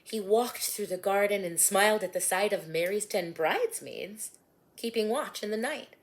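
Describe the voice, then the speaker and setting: A woman narrating her own rhyming storybook in a gentle, lilting way, softening to a hush at line ends. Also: medium pitch, moderate pace. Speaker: author and storyteller.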